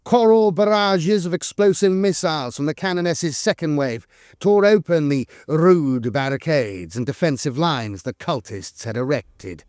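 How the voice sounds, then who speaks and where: High-pitched man narrating solemn war lore, animated. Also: unhurried, no room sound, clean studio sound. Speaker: lore channel narrator and host.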